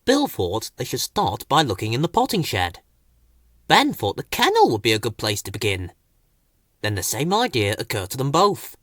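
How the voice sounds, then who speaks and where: A man narrating a children's story as an expressive audiobook storyteller. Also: medium pitch, moderate pace, no echo, studio quality.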